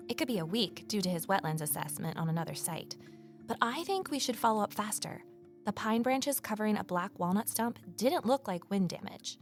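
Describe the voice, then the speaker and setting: A woman's voice: medium pitch, natural voice. A woman playing an eager, nosy former journalist turned sleuth, telling her story in earnest first-person audio drama style. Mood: determined, reflective.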